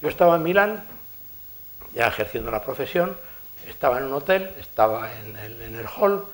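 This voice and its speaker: A man with a Spanish accent from Spain giving a conference lecture, telling lively anecdotes and chuckling at his own stories. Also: medium pitch, normal speed. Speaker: engineer giving a conference talk. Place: lecture hall.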